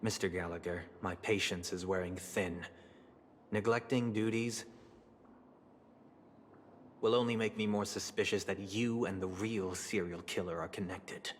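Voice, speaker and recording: A man voicing a condescending, coldly menacing authority figure in a video game, speaking in a polished, measured way. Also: low-pitched, fairly even intonation. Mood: cold, threatening. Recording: long hall reverb, faint noise.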